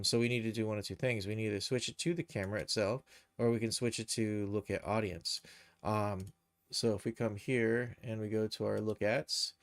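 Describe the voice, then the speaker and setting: A man hosting a casual, unscripted software tutorial, thinking aloud as he explains each step. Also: on the low side, vocal fry, no echo. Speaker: live stream tutorial host, game and cartoon developer.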